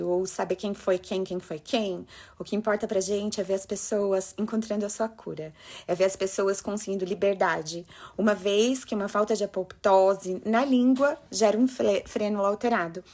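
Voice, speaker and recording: A woman's voice: medium pitch, darkish tone. A woman with a Brazilian Portuguese accent, a health professional giving advice to parents and colleagues in an emphatic, urgent way. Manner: emphatic, urgent, addresses listeners directly. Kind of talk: passionate talk.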